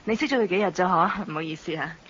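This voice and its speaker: A woman's voice: fairly low pitch, quick pace, a bit bright, breathy edge, highs cut off. An actress playing a poised, possessive career woman, sugary and subtly condescending, in a Hong Kong Cantonese radio drama.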